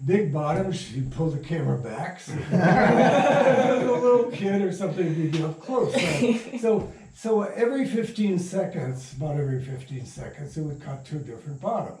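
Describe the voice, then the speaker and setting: A man's voice: on the high side, sing-song, normal speed, hardly any pauses, darkish tone. An older man telling anecdotes casually as an interview guest, rambling and circling back mid-sentence. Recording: echoey room, distant mic.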